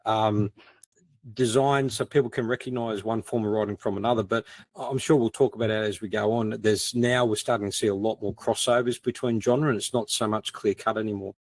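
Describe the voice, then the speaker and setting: A man's voice: fairly low pitch, steady tone, fairly quick, a little mellow, no room sound. A man in a casual panel discussion, talking in an informal, self-deprecating way. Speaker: podcast co-host as panel guest.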